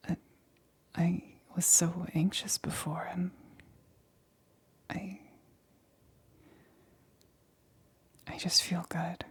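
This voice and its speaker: A woman voicing an infected female lab assistant in an ASMR roleplay, eerily blissful and coaxing, in a breathy, intimate whisper. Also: on the low side, full character voice. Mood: dreamy, coaxing.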